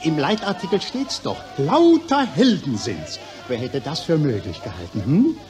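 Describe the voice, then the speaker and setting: An actor playing a gullible, gushing Viennese newspaper reader in a satirical 1940s radio play. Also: male voice, a little high, big pitch swings, moderate pace, a little mellow, faint music behind, a faint crowd. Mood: enraptured. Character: excitable, gullible newspaper-quoting Viennese man.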